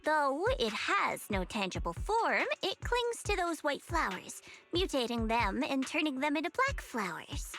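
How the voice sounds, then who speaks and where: A confident, teasing young investigator from a game. Her high voice swings lively up and down as she needles her companions with knowing remarks. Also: unhurried, few pauses, faint music behind, exaggerated voice. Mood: brisk. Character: sly, teasing young woman investigator, self-assured.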